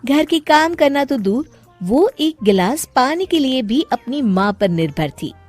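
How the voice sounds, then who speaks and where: A woman's voice: high-pitched, big pitch swings, measured pace, darkish tone, faint music behind. A woman narrating a scripted moral story for an animation as storyteller.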